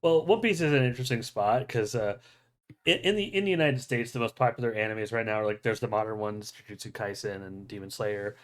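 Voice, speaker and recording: A man's voice: fairly low pitch, fairly quick. A man hosting a podcast, chatting casually and enthusiastically as a fan, with plenty of swearing. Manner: profane, enthusiastic fan talk. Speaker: podcast host. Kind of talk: casual chat about movie news.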